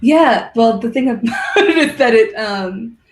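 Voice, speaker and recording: An interview guest talking casually and thoughtfully, in a halting way, with a roomy echo. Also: mid-range voice, animated. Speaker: guest, organizer of a fiction podcast and symposium. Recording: roomy, echoey sound.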